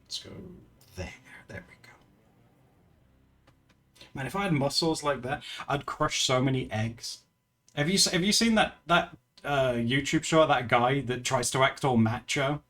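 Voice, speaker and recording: A man's voice: mid-range voice, a little slow, pushed and bright. A man chatting casually with his viewers during a live art stream, chatty and giggly, often laughing and restarting his sentences. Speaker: livestreamer. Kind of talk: casual livestream chat with viewers while drawing.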